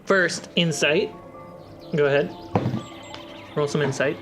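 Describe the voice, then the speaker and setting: A man running a tabletop game as game master, answering the players' questions in a relaxed, matter-of-fact way. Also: on the high side, takes it slowly. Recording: music underneath.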